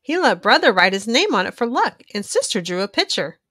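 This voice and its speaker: A woman reading a children's storybook aloud in an expressive, animated narrating style for young listeners. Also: medium pitch, fairly quick, no room sound, clean studio sound.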